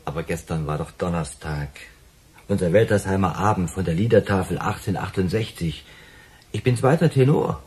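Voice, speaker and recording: An actor playing a nervous, wordy waiter in a radio crime drama, defensive and excitable as he explains himself. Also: low voice, fairly quick, natural voice.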